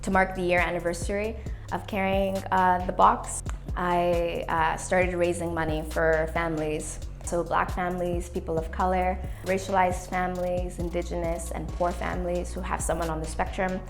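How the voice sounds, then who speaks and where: A young woman in her twenties speaks in a slightly low voice, earnest and reflective as she is interviewed for a documentary. She talks with speech and a motivational drive, and faint music plays softly behind her. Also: flat delivery, normal speed. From Canada. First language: English. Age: 20s. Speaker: playwright and youth worker.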